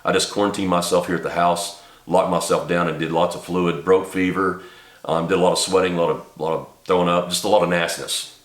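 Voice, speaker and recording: A man with a very low voice talks to camera, a little stuffed up from a cold. He rambles earnestly, with lots of ums and restarts. The room around him is echoey. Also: level tone, a little fast. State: congested from a cold. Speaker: channel host and small business owner. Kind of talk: casual talk to camera. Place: home office.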